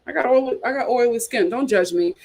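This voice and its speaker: A woman's voice: a little high, quick pace, a little mellow. An American woman hosting a casual chat show, reading aloud and commenting with playful, teasing asides. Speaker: host of a reality TV chat show.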